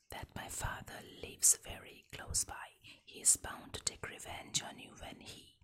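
A woman whispering the part of a sly, clever frog in an animal fable. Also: bright, forceful tone.

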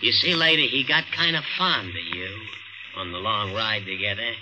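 A man's voice: lowish voice, normal speed, background noise, close to an everyday voice. An actor playing a curt, sardonic, menacing city cab driver with slangy tough-guy talk in a 1940s radio play. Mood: sardonic menace. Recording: old radio broadcast, music behind.

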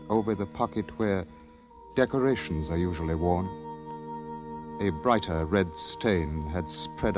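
Deep-voiced, somber war correspondent. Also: male voice. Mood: bitter sorrow. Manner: grave, measured, literary. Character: somber male British war correspondent recalling a battle. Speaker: actor narrating as a war correspondent. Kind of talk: 1940s radio drama narration. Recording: muffled old radio, music behind.

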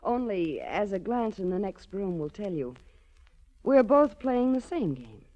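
A woman's voice: medium pitch, sing-song, a little slow, warm tone. An actress playing a cool, self-assured female crime boss in a 1940s radio drama, poised, haughty and coolly seductive. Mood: imperious, confident. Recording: muffled 1940s radio broadcast recording.

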